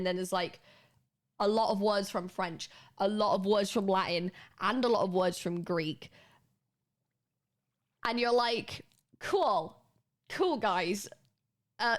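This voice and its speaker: A British woman streaming, chatting casually and rambling with her viewers, swearing freely and often restarting her sentences. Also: mid-range voice. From Britain. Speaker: livestreamer.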